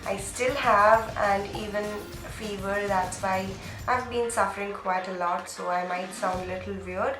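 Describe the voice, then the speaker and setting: A woman's voice: medium pitch, fairly even intonation, measured pace. A young woman with a cold talks through a makeup routine, her voice nasal and a bit stuffed up. She explains each step in a chatty way. The room is echoey, and faint music plays under her. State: sick with a cold. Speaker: beauty vlogger. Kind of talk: makeup tutorial talk.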